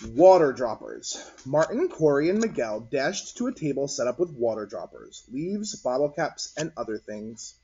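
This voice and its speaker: A man reading a picture book aloud to children in an animated, husky voice. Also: mid-range voice, normal speed, few pauses, slight background noise. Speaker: read-aloud storyteller. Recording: dull, lo-fi recording.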